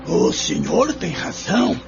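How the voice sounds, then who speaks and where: An actor playing an inquisitive, deferential neighbor in a radio drama, with a gravelly, animated delivery. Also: male voice, sits high, slow, heavily acted. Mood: intent, agreeable. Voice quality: very creaky, gravelly. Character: inquisitive rustic male neighbor examining buried finds. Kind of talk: amateur radio drama acting. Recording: muffled recording with music behind.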